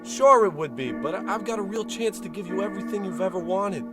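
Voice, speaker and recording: Man playing an eager, high-pitched suburban husband. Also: lively intonation, a little fast, over music. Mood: earnest. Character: eager, dissatisfied young suburban husband, earnestly dim. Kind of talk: old-time radio drama parody acting.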